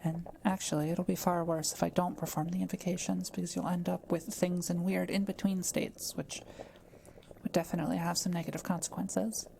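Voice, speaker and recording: A kind forest witch, played by a woman, speaks in a slightly low voice with plenty of vocal fry. She is gentle and patient, explaining like a scholar in an intimate audio roleplay. Faint background noise sits behind her. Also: flat delivery, fairly quick. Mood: careful, earnest.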